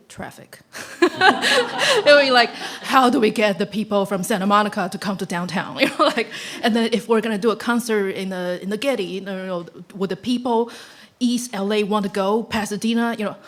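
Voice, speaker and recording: Female guest speaker, mid pitch, chatty and reflective, live unscripted talk. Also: fairly bright tone. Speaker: guest speaker, violinist and educator.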